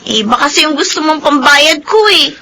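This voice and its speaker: A weary, deferential maid in a radio drama. She has a fairly high voice and a plaintive, hesitant manner, with faint noise behind her. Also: a little fast, sharp tone. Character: meek, overworked, hesitant female housemaid. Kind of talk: Filipino radio drama acting.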